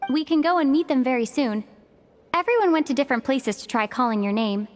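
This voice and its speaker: An actress playing a devoted, warm-hearted young woman in a game cutscene, speaking earnestly and tenderly. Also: high-pitched, expressive, fairly quick, soft background music. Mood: happy, tender. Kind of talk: video game cutscene acting.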